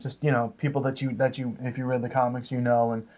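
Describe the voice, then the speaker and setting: A man's voice: mid-range voice, little pitch movement, fast. A man co-hosting a comics review show, chatting casually with hesitant, rambling phrasing. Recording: dull lo-fi sound.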